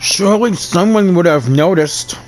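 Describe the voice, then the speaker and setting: A man's voice: high voice, moderate pace, music in the background, lightly acted, low-quality recording. A man voicing a composed, practical companion on an investigation in a video game.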